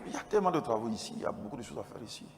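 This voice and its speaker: A man with an Ivorian French accent giving a testimony as a pastor at a church convention, his throat strained by a cold, moving between quiet asides and loud exclamations. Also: medium pitch, animated, very fast, creaky. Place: large church convention hall. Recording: reverberant hall PA, noisy background.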